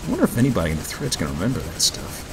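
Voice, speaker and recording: A man's voice: deep voice, wildly swooping pitch, very fast, noisy background. A man hosting a let's play, giving casual, joking gaming commentary. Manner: joking banter. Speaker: let's play host playing the game. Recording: game music behind the voice. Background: video game music.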